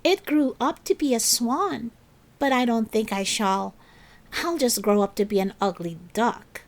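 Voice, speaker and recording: A woman's voice: a little high, a little slow. A woman voicing a clumsy, anxious, apologetic schoolgirl with an earnest, self-doubting manner in a children's storybook. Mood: doubtful. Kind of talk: children's storybook read-aloud.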